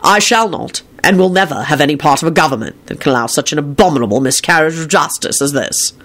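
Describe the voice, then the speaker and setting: A woman voicing a cool, dry-humoured male minister who speaks flatly and firmly, in a storybook reading. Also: sits low, fast talker.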